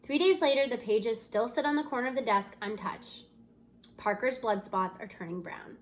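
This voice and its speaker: A woman's voice: a little high, moderate pace, a little hiss or hum. A woman reading a children's novel aloud expressively, narrating as a student in the first person. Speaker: audiobook-style reader.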